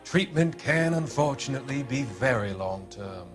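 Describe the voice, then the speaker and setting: An actor playing a calm, formal psychiatrist with quiet authority, speaking deliberately in a dramatic video game cutscene. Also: male voice, mid-range voice, natural voice. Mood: calm, evasive. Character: smooth, measured psychiatrist, mature man of quiet authority. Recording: music behind the voice.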